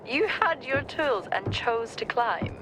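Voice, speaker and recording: A woman playing a practical, teasing crewmate on a comms call in a video game, with a dry, brisk manner. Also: fairly high pitch, animated, a bit bright, soft background music, low-quality recording. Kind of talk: naturalistic video game acting.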